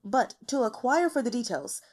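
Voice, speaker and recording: A woman's voice: on the high side, animated, moderate pace, darkish tone. Woman voicing a nervous, deferential elder court healer. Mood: formal, hesitant. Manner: nervous, hesitant, stammering, formal.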